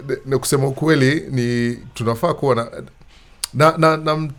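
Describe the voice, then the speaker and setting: A man's voice: medium pitch, fairly even intonation. A man with a Kenyan accent hosting an interview in a conversational way, often repeating himself as he searches for words. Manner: halting, searching for words with repetitions. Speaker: show host. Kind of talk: conversational interview hosting, mixing Swahili and English.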